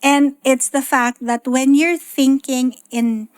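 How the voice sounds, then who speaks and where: A woman's voice: high-pitched, level tone, takes it slowly, clean tone. A woman in her thirties with a Filipino accent, a guest talking thoughtfully in English mixed with Tagalog. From Philippines. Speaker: guest, economist. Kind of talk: casual chat.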